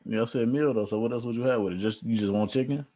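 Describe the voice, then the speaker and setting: A man interviewing a guest, asking short, quick questions. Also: medium pitch, warm tone.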